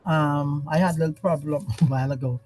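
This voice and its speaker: A woman's voice: low-pitched, fairly even intonation, a little fast, a little mellow, a little hiss or hum, rough recording. A woman from the Bahamas, introducing herself on a video call.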